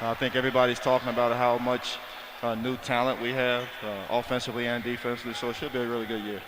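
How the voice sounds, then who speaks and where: A man's voice: mid-range voice, flat delivery, very fast. A man speaking as an assistant basketball coach in a casual interview, easygoing and informal, with venue noise behind him. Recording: clear venue background noise.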